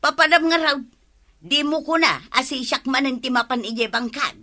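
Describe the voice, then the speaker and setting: A woman's voice: high voice, moderate pace, sharp tone. A woman playing an outspoken village housewife in a radio comedy drama, with an Ilocano accent. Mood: scolding.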